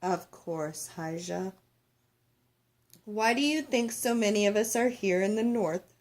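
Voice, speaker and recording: Woman reading as a gentle, solemn grandmother. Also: medium pitch, unhurried, lightly acted. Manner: hushed, solemn. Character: gentle, brave older grandmother. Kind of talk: children's storybook read-aloud.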